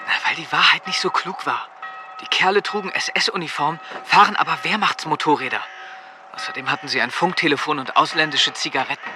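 A young man acting a wary, sharp-witted teenage soldier who also narrates in a radio play. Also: fairly high pitch, brisk, noisy background, natural voice. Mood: wary, insistent. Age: young adult.

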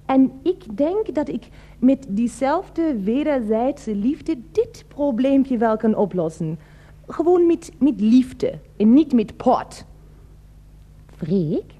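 A woman's voice: high voice, dark tone, lo-fi sound, exaggerated voice. An actress playing a sweet, clingy, lovesick woman with a German accent in a radio drama, hesitant, cooing and pleading. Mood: coaxing, pleading.

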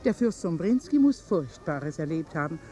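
A flirtatious, excitable high-society lady in a vintage radio play. Her voice is mellow and very animated, with theatrical, coquettish swings of pitch. Faint music sits behind her. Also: moderate pace. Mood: agitated. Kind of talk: 1950s German radio drama acting.

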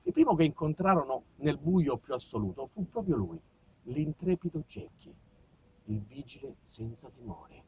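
A man's voice: mid-range voice, big pitch swings, moderate pace, lo-fi sound, background noise. A man performing a comic storytelling monologue for radio, declamatory and mock-epic, shifting between proclaiming and confiding. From southern Italy. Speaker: actor-author narrating his own monologue.

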